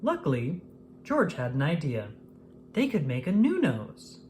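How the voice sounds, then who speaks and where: A woman with a low-pitched voice reads a children's story aloud. Her intonation rises and falls in a lively, expressive way suited to young listeners. Some background noise sits behind her narration. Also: unhurried.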